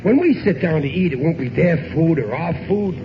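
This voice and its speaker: A man's voice: fairly high pitch, animated, a little fast. An American actor playing a sentimental, blustering, dim working-class family man with ungrammatical speech in a radio sitcom. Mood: enthusiastic. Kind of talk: 1940s radio sitcom acting. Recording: noisy, muffled vintage radio broadcast.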